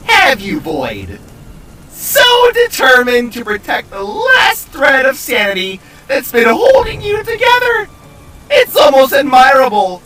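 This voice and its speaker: A man playing an unhinged, bombastic glitching digital demon villain in an audio story, mocking and theatrical, with a torn, raspy screaming edge. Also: very high voice, sharp tone, recorded from a distance, exaggerated voice, rough recording.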